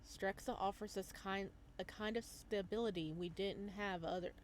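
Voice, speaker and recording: A woman's voice: medium pitch, steady tone, normal speed, a little hiss or hum, natural voice. A woman voicing a guarded, blunt woman who left a company, wry and matter-of-fact, in a visual novel read-aloud. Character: guarded, blunt ex-employee turned community organiser, woman.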